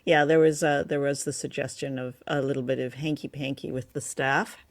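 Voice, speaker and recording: A woman's voice: rumbling low, fairly even intonation, a little fast. A woman co-hosting a show, talking in a chatty, reflective way about old entertainment in a casual discussion. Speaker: podcast co-host. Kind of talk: casual film and radio discussion.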